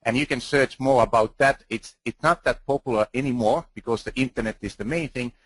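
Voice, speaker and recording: Finnish man, conference speaker, earnest and halting, dry close sound, mid pitch. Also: husky, dry recording. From Finland. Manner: earnest, halting, with restarts and fillers. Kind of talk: presentation talk.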